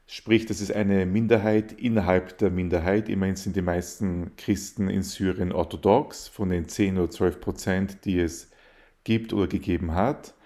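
Guy being interviewed, really low voice, thinks out loud with lots of ums. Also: steady tone, moderate pace. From Austria. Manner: thoughtful, hesitant with fillers and restarts. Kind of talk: casual interview.

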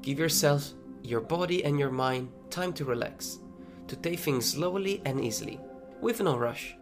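A man narrating a guided running meditation as a coach, giving gentle instructions, with plucked guitar behind him. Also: on the high side, expressive, normal speed. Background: plucked guitar music.

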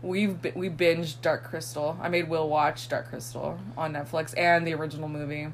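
A woman's voice: sits low, normal speed, a little hiss or hum. A woman co-hosting a podcast in casual chat, a little congested, who over-explains and tells stories with energy. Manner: over-explaining, animated storyteller. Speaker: podcast co-host.

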